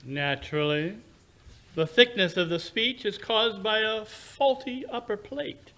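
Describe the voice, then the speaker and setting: A man playing a brilliant, condescending Victorian English gentleman detective who lectures precisely and theatrically in 1940s radio drama style. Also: sits high, measured pace, full character voice. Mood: confident deduction.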